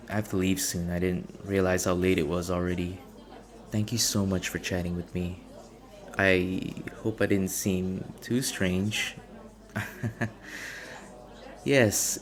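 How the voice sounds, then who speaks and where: A man playing a shy, socially anxious, gentle young stranger in an ASMR roleplay, speaking hesitantly and rambling in an earnest, self-conscious way. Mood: flustered, grateful. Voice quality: low, gravelly with vocal fry. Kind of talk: ASMR boyfriend audio roleplay, intimate naturalistic acting.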